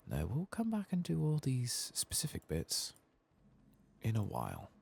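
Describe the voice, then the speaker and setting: Male gaming streamer, soft-spoken and half-whispered, gravelly with lively swings in pitch, casually sweary running murmur. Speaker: streamer playing a video game.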